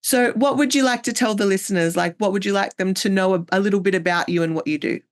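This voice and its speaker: An Australian woman hosts a podcast interview. Her voice sits at a middle pitch. She speaks warmly and effusively, with plenty of praise for her guest. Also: fast talker. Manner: enthusiastic, effusive. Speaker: podcast host. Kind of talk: casual interview chat.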